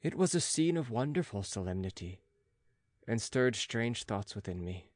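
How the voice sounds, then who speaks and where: A man narrating a classic gothic novel as an audiobook, in a measured, dramatic literary manner. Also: lowish voice, very animated, a little mellow, dry recording.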